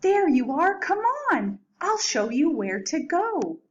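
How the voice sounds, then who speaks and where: A bustling storybook school principal, a woman who gushes in a high, singsong voice, put on by a woman reading aloud. Her pitch leaps about emphatically. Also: sing-song, takes it slowly, dull audio, slight background noise, full character voice. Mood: gushing reassurance.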